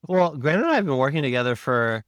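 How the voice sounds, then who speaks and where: A man's voice: medium pitch, very animated, fast, fairly bright tone, clean tone, no room sound. A man talking casually as a sound designer being interviewed, explaining his craft step by step. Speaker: sound designer and podcast guest. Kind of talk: casual behind-the-scenes interview talk.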